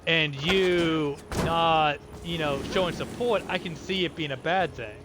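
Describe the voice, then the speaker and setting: A man in his thirties from the United States chats through gameplay in a rambling way, often restarting his sentences. His voice sits a little high, with clear background noise under it. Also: unhurried. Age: 30s. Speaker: gamer. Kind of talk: casual gameplay commentary.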